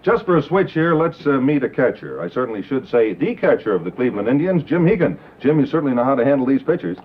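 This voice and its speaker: A man's voice: medium pitch, sing-song, fast, husky. An American man working as announcer and interviewer in a 1950s live television sponsor spot. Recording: dull muffled 1950s TV broadcast.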